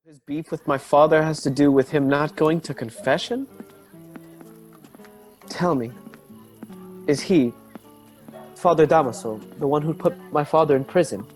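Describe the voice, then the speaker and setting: An actor playing an earnest young gentleman, a loyal son, in a radio drama. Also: on the high side, measured pace, pauses often, soft background music, lightly acted. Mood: pained, earnest.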